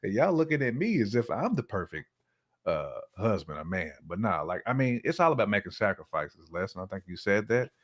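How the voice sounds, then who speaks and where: A man's voice: lowish voice, sing-song, brisk. A man co-hosting a podcast in casual, colloquial conversation, repeating himself for emphasis. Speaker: podcast co-host.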